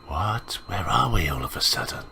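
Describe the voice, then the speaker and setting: A man's voice: low voice, very animated, moderate pace, vocal fry, a little hiss or hum. A man giving chatty let's play commentary on visual novels, reading aloud and reacting as he goes. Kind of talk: casual gaming commentary mixed with read-aloud dialogue. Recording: voice over loud game music. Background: game music.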